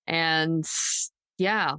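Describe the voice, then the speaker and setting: A woman's voice: low-pitched, steady tone, very slow, sharp tone, clear, resonant tone, no echo. A woman hosting a true crime podcast in a casual, loosely unscripted, conversational way. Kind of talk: casual co-hosted podcast chat.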